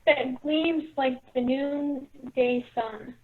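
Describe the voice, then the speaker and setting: A woman's voice: high-pitched, fairly even intonation, very slow. A woman playing a dreamy, gushing, spoiled young stepsister in a children's play reading. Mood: swooning. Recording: thin phone-like sound.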